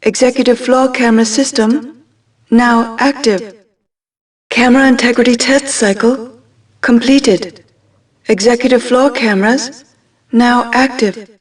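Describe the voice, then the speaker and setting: A female building computer in a video game. The voice is high and slow, sounding set away from the microphone. Every announcement is measured, impersonal and impassive. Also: a little mellow, distant mic.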